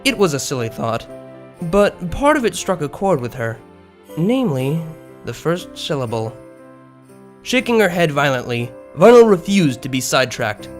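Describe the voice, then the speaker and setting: A man reading a story aloud as an expressive narrator, in scripted prose. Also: fairly high pitch, normal speed. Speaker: narrator of a fan fiction story. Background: background music.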